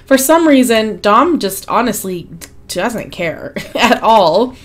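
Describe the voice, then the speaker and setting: A woman hosting a life-sim game video, her voice hoarse and fading, chatting casually with frequent ums and small laughs. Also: mid-range voice, animated, slow pace. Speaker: gaming channel host. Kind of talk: casual gameplay commentary.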